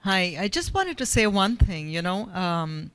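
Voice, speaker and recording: A woman in her fifties from India, telling an animated personal story with many fillers from the floor of a forum. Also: mid-range voice, unhurried, smooth. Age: 50s.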